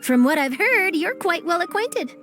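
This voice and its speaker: A woman's voice: extremely high pitch, big pitch swings, normal speed, a bit bright, soft background music, heavily acted. A woman voicing an eager, nosy young female reporter in a game cutscene, chipper and persuasive. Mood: upbeat. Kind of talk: video game cutscene dub.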